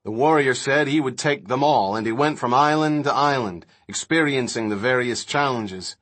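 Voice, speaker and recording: A man's voice: mid-range voice, normal speed, fairly bright tone, lightly acted. A man voicing an intense, opinionated scientist in an audiobook, lecturing impatiently with emphatic, rhetorical phrasing. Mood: explanatory.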